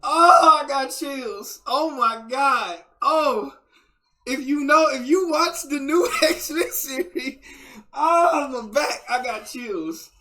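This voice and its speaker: A man streaming casual reaction chat, talking in a rambling, slangy, excitable fan style. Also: very high voice, slow. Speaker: streamer reacting to game voice lines.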